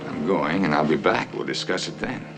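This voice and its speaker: Gravelly, guarded husband. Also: male voice, extremely low pitch, fairly quick, over loud music. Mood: defensive. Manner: guarded, placating. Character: evasive husband, conflicted, trying to stay reasonable. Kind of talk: domestic film drama acting. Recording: faint background noise.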